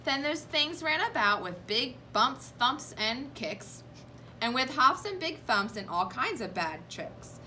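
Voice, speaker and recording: A woman reads a children's story aloud with chatty asides. Her voice is high and very animated, with a bright tone. Some noise sits behind her. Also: slow pace.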